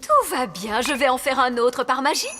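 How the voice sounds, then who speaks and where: A fussy, bossy fairy nanny for a cartoon. Her voice is high and very animated, emphatic in every phrase, with clear noise in the background. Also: moderate pace, heavily acted. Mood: pleased, self-assured. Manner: scolding, emphatic. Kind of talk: French cartoon dubbing.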